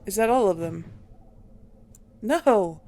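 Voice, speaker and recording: Woman, casual let's play host, unhurried pace, gravelly fry, thinking aloud, game ambience behind. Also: medium pitch, expressive, mellow, a little hiss or hum. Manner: musing aloud, questioning herself. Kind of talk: casual gameplay commentary.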